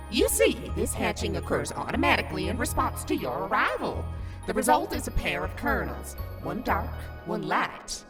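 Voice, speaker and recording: A woman voicing a playful, doting grandmotherly ghost guide in a theatrical, storybook manner for a cartoon fandub. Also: fairly high pitch. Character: playful grandmotherly ghost sprite in clown garb, mysterious guide. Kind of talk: fandub character acting.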